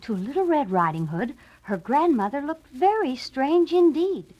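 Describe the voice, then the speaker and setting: A woman's voice: high-pitched, very animated, a little slow, dull audio. A woman reading scripted narration for a children's read-along storybook.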